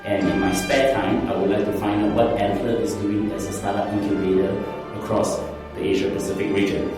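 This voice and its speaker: A man with a fairly low voice hosts an interview podcast. He sounds set back from the microphone in a very reverberant indoor space, with clear music playing behind him. His delivery is that of a presenter introducing and questioning a guest. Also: little pitch movement, a little fast. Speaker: podcast host. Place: indoor co-working office. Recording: very echoey, distant mic, music behind.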